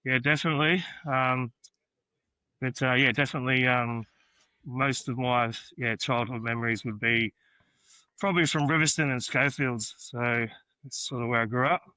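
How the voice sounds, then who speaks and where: A man's voice: medium pitch, fairly even intonation, normal speed, bright, forceful tone, no echo, rough recording. A young man from New South Wales with an Australian accent, a guest chatting easygoingly about where he grew up, with many ums and uhs. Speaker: podcast guest, graffiti artist. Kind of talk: casual interview chat.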